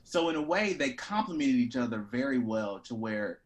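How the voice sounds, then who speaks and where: A man speaking as an actor being interviewed, candid, reflective and expressive in casual conversation. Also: mid-range voice, normal speed.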